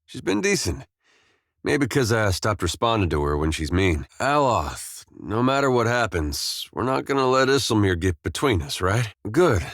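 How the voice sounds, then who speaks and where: An actor playing an easygoing, wry, war-weary farmer turned adventurer in a video game, talking in a folksy, understated way with short, plain phrases. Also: male voice, lowish voice, sing-song, moderate pace, fairly bright tone, no echo, studio quality. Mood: casual, probing. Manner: folksy, laid-back, understated, drawling short clipped phrases. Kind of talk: video game companion dialogue.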